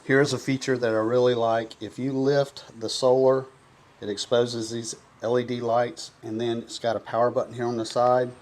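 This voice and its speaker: A man's voice: mid-range voice, flat delivery, normal speed, darkish tone. A man giving a casual, folksy and enthusiastic product review to camera.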